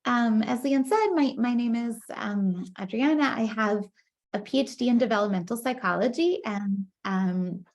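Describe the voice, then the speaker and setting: A woman in her thirties, a native Spanish speaker, talks over a video call from a room at home. She explains things in a casual, friendly way, pausing on ums and starting phrases over. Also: on the high side. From Costa Rica. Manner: friendly, many ums and restarts. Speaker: guest speaker, researcher. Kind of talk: casual online lecture. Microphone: laptop mic on video call.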